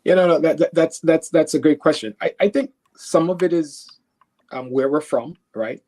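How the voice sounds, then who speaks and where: A man's voice: a little high, normal speed, darkish tone. Earnest man from Haiti, thinking aloud. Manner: earnest, repeats words while thinking, frequent "right?". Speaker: guest. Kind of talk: conversational interview answers.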